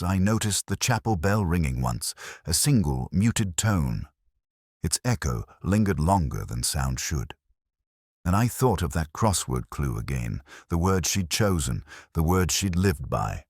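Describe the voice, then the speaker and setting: Very deep husky male narrator. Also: no room sound, clean studio sound. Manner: measured, brooding, literary delivery. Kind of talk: scripted first-person detective narration.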